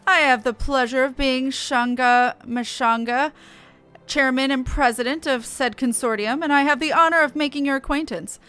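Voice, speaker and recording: A woman plays a genteel merchant chairman of a fantasy game, flowery and idealistic. The voice is high for such a gentleman, courteous and grand, with music behind. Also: moderate pace, smooth. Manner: formal, grandiose. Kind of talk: reading game dialogue aloud.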